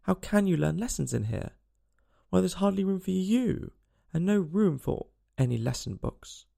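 A man's voice: high-pitched, lively intonation, moderate pace, creaky, warm tone, pitched above the performer's own voice, full character voice. A man voicing a puzzled young girl who muses wistfully and chides herself, in a storybook reading. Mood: rueful. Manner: wistful, self-chiding.

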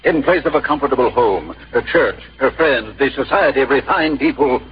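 A man's voice: mid-range voice, expressive, a little fast, husky. An actor playing a stern, protective, refined father in a radio drama, speaking in a formal, imperious way. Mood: scornful. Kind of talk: 1950s radio drama acting. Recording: muffled, noisy old radio recording.

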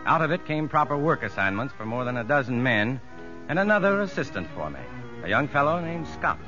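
Calm prison doctor in old radio drama, acted by a man. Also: medium pitch, fairly quick, natural voice. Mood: measured narration. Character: calm, patient male prison doctor narrating his story. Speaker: actor playing the lead and narrator. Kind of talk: 1950s radio drama acting. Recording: muffled old radio broadcast, faint music.

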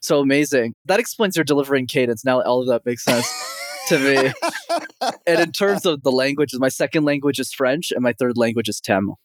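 Young canadian guy coaching talk, energetic, dead dry recording. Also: medium pitch, brisk, dry recording. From Montreal, Canada. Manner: energetic, confident, frequently addresses host by name. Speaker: communication coach, guest. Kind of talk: podcast interview answers.

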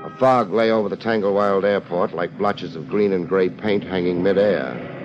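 An American actor playing a laid-back, wisecracking private investigator in a 1950s radio drama, dry and unhurried. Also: male voice, deep voice, music in the background, lightly acted. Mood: moody narration. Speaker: lead actor and first-person narrator. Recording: dull, noisy old radio recording.

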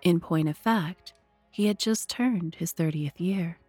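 A woman narrating a literary tale as a storyteller, measured, deliberate and expressive. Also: on the low side, breathy edge, no echo, faint music behind. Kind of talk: dramatic scripted literary narration.

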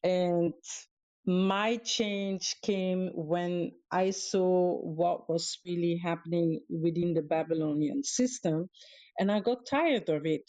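A Dutch-accented woman who speaks very slowly and pauses often, as a guest calling in. Her voice sits a little low and comes across dry and close. She hesitates, restarts, and fills gaps with "um". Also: fairly even intonation, darkish tone, dry recording, muffled recording. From Europe. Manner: slow, halting, with many fillers and restarts. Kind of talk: casual talk on a live audio show. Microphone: calling in remotely.

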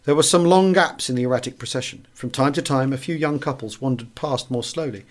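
A man's voice: medium pitch, a little fast. A man narrating a wartime memoir as a scripted audiobook reading.

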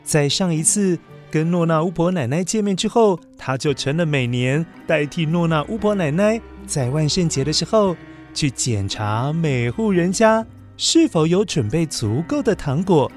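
A man narrating a children's story in a gentle voice, speaking directly to young listeners. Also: fairly high pitch, sing-song, moderate pace, soft background music.

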